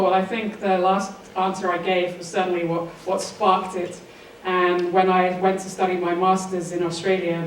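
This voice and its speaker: A woman from England with a southern English accent speaks as an interview guest in a fairly low voice. Her delivery is measured and stays very even in pitch. The sound is roomy and echoing. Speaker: interview guest, sports author. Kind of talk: interview answers, personal storytelling. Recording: roomy echo, distant mic, faint noise.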